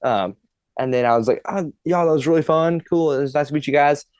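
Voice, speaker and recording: Animated young man telling a story. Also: fairly high pitch, a little fast, clean tone, dry recording, clean studio sound. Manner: animated, laughing, swearing storyteller. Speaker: podcast guest, drummer. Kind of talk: casual storytelling chat.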